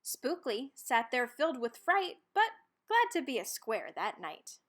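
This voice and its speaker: A woman's voice: high voice, very animated, a little slow, fairly bright tone. A woman reading a rhyming children's picture book aloud, expressively and with dramatic emphasis on key words. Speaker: storybook reader.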